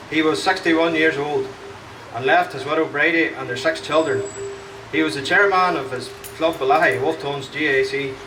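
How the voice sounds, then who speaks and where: Young man reading a statement, steady and grave, far mic, noisy background. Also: fairly high pitch. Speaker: victim's relative speaking.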